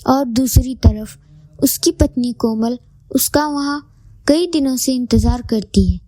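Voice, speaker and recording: Slow, high-voiced woman storyteller. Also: warm tone, dry recording. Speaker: story narrator. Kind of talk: scripted children's moral story narration.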